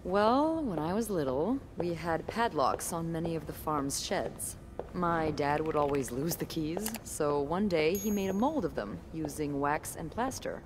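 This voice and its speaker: A woman's voice: sits low, lively intonation, measured pace, background noise. An actress voicing a wry, playful, self-assured young woman with a teasing manner in a naturalistic video game scene. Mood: encouraging, wry.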